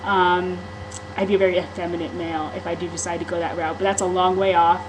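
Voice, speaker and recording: A woman's voice: fairly low pitch, flat delivery, fast, noisy background. A woman talking candidly in a casual vlog monologue, rambling, with frequent ums and restarts. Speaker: vlogger.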